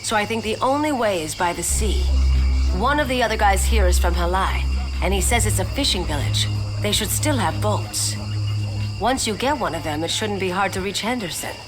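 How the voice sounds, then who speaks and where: A pragmatic female survivor voiced by an actress in a video game. She speaks at a medium pitch in a direct, businesslike way, with music playing behind her. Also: expressive, normal speed, natural voice, rough recording. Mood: firm. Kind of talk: video game cutscene acting.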